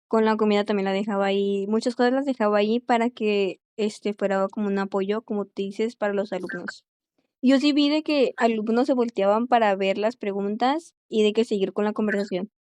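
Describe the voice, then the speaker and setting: A young woman, an English teacher, chatting quickly in a casual conversation and filling her pauses with este. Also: mid-range voice, steady tone, darkish tone, clean tone, dry recording. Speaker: English teacher and language student.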